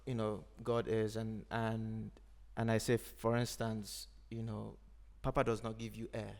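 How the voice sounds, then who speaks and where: A man from Nigeria, an Igbo speaker, talking in a thoughtful, unhurried way as a podcast host. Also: on the low side, completely flat delivery, vocal fry, a little mellow. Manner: thoughtful, unhurried, frequent "you know". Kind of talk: reflective conversation.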